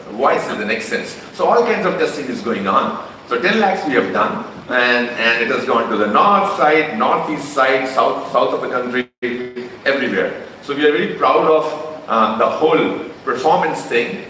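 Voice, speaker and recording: An Indian-accented man addresses a crowd at a launch event, his voice bright and forceful. He talks loosely and conversationally, circling back and restarting phrases. The sound is distant and booms around a large reverberant hall, with a faint hum behind it. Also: lively intonation, moderate pace. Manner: conversational, with frequent restarts and fillers. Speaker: company executive presenting a car launch. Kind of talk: unscripted presentation speech. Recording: echoey hall from a distant mic.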